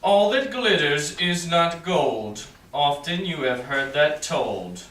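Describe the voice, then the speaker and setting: Guy acting proud self-assured shakespeare prince, big swings in pitch, declaims, distant mic with faint noise. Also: expressive, fairly bright tone, reverberant. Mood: dismayed, solemn. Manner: declamatory, deliberating aloud with weighty pauses. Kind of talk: Shakespearean verse, staged reading.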